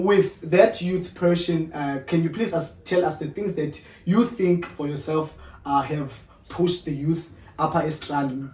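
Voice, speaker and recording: A man hosting a casual interview chat, speaking in a relaxed way and chiming in often with short affirmations. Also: on the high side. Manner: relaxed, frequent filler affirmations. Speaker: host. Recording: echoey room, distant mic.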